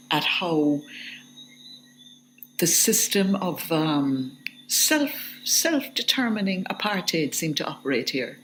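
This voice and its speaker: A woman's voice: low voice, fairly bright tone, slight background noise, low-quality recording. A middle-aged woman, a literature professor answering interview questions in a reflective, hesitant way. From Jamaica. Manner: thoughtful, hesitant, with restarts.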